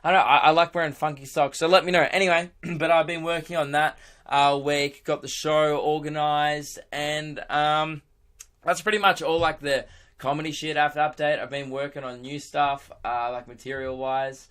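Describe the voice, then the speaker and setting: A young man with a hoarse, worn-out voice, rambling excitedly on his own podcast, laughing and swearing as he goes. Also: fairly high pitch, normal speed. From Australia. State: hoarse, lost voice. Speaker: podcast host and radio presenter. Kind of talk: casual solo podcast chat.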